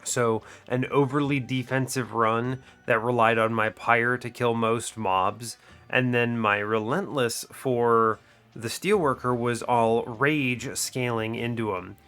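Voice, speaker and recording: A man's voice: medium pitch, fairly even intonation, takes it slowly, a bit bright, soft background music. Sick-sounding man with muted, nasal voice. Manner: rambling, self-correcting. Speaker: gaming channel host. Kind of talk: game commentary. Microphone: desk microphone.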